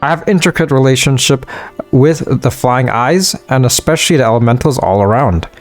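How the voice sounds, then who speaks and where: A man's voice: medium pitch, normal speed, faint music behind, close to an everyday voice. A man reading as a wise old mage. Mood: measured. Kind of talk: reading game dialogue aloud.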